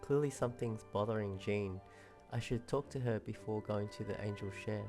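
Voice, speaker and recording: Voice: mid-range voice, normal speed, music in the background. A game streamer giving casual, chatty commentary while playing.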